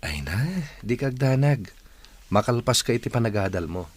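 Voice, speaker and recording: A man's voice: medium pitch, big pitch swings, moderate pace, lightly acted. A man playing an attentive, reassuring young student suitor in an Ilocano radio drama. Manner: earnest, solicitous.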